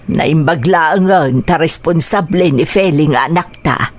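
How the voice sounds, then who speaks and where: Radio drama casting: woman acting caring old Ilocano grandmother, lowish voice, concerned for her family. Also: measured pace.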